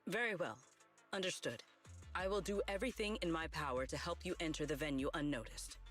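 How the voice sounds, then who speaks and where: An actor playing a formal, dutiful and courteous swordmaster in an anime game, with deliberate wording. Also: medium pitch, fairly bright tone, close to an everyday voice. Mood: solemn. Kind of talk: scripted anime game acting. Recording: game music behind the voice.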